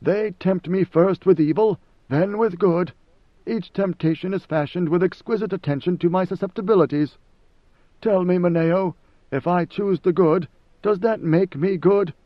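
A man voicing a commanding ancient god emperor who speaks in a grave, measured, lecturing way. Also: sits high, no room sound, highs cut off, voice pushed up, natural voice.

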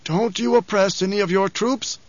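A man's voice: steady tone, normal speed, no room sound. A man voicing a shrewd older male spymaster who speaks mildly and precisely in a raised voice. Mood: calm. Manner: mild, precise, higher voice. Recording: dull, dry recording.

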